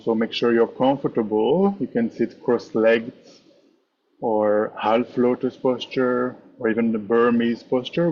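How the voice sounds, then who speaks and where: A man leading a guided meditation as a teacher, speaking in measured, instructive short phrases with pauses. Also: medium pitch, highs cut off, slight background noise. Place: online meditation session over video call.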